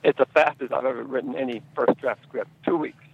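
A man answering as a screenwriter guest in a conversational interview, speaking haltingly and repeating his words and phrases. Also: medium pitch, steady tone, vocal fry, narrow, tinny audio, background noise.

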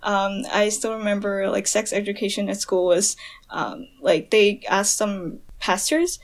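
A woman's voice: mid-range voice, measured pace. A young woman from Hong Kong, a podcast guest, chatting casually with lots of fillers and laughter. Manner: chatty, many fillers, laughs while telling. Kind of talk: casual chat.

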